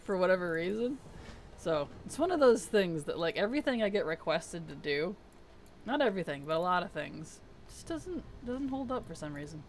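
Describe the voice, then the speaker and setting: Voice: mid-range voice, very animated, moderate pace, slight background noise. A game streamer chatting casually on a live stream, reading chat aloud and muttering while playing, with a voice worn weak and strained. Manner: soft-spoken, reads chat aloud and mutters to self.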